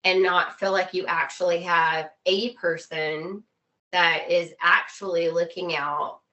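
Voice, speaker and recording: A woman's voice: lowish voice, steady tone, slow pace, pushed and bright, dull audio. A woman chatting casually as a podcast guest, empathetic and emphatic. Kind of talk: casual chat.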